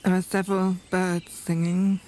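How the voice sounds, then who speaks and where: A woman reflecting aloud in a deliberate, unscripted way, searching carefully for her words. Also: lowish voice, level tone, darkish tone. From northern England. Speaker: poet, documentary subject. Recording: outdoor field recording with environmental noise. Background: birdsong and outdoor ambience.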